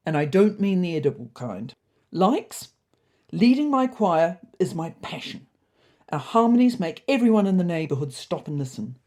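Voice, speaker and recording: A woman's voice: medium pitch, warm tone, natural voice. A woman voicing a pompous, fussy male cat with sophisticated pretensions, in a comic audio storybook. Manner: pompous, self-satisfied.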